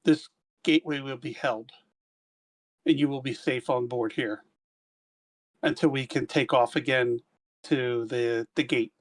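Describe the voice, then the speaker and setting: A man playing a tabletop game, speaking in character as a squad commander in halting phrases with frequent restarts. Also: mid-range voice, fairly even intonation. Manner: halting, restarts mid-sentence. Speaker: player.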